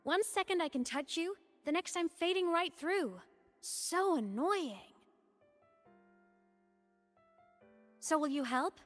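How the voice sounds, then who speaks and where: A woman's voice: very high voice, animated, a little slow, soft background music. A woman voicing a playful, teasing digital ghost girl in a video game, breezy and casual but determined. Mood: pleading, exasperated. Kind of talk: video game story voice acting.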